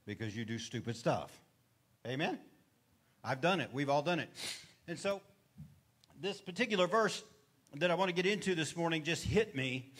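High-voiced middle-aged preacher man. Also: a bit bright. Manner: preaches with call-and-response questions to the congregation. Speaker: pastor. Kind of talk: sermon. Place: church stage with brick wall. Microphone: handheld mic.

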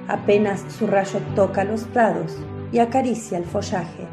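A woman's voice: mid-range voice, unhurried, music in the background. A woman reading scripted narration for a biblical radio drama.

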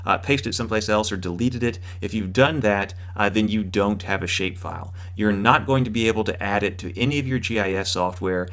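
Lower-voiced man teaching a lesson. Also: fairly bright tone. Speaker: instructor. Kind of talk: explanatory lecture on GIS software.

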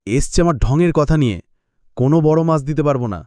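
An actor voicing village men in a Bengali fishing cartoon. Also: male voice, on the high side, expressive, quick pace, warm tone, dry recording, lightly acted. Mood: irritated.

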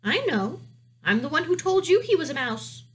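Child princess for a storybook adult woman acting: high, girlish, sweet-natured, innocently insistent. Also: sing-song, normal speed, a bit bright. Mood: stubborn protest. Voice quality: light, girlish. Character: young princess, sweet, stubborn, tender-hearted child.

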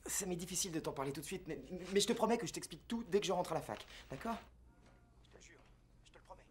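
An actor with a French accent playing an awkward, eager young student in a dubbed comedy film. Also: male voice, sits high, animated, very fast, slight background noise. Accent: French (France). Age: young adult.

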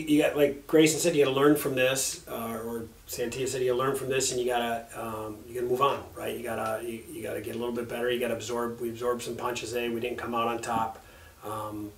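Middle-aged male coach, halting post-game talk, slightly distant mic, faint room hum. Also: medium pitch, echoey room. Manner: halting, many fillers and restarts. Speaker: head coach. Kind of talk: post-game press conference. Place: press room with backdrop and table.

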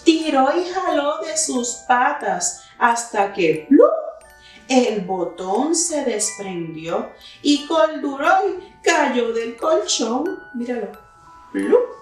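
A young woman reads a children's story aloud in a high voice, unhurried and expressive. She sounds set back from the microphone in a very echoey room. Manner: slow, deliberate storytelling. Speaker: children's story reader, teacher. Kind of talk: expressive picture-book read-aloud for children. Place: indoor room with wooden cabinet and plants. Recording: very echoey room, distant mic, faint music.

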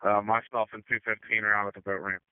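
A man's voice: lowish voice, level tone, quick pace, clear, resonant tone, no echo, phone-quality sound. A man working as an emergency responder, speaking tersely over a two-way radio. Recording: band-limited emergency radio transmission.

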